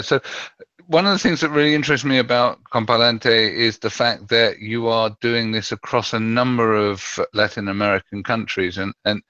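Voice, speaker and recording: A man's voice: on the low side, a bit bright, no room sound, highs cut off. A man hosting an interview show, friendly, repeating words as he frames his questions. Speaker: podcast host.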